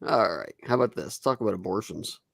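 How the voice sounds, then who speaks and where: A man's voice: on the low side, expressive, a little fast, creaky, fairly bright tone. A man hosting a casual talk show, sarcastic and joking, laughing often and swearing. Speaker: podcast host. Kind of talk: casual chat, mocking commentary.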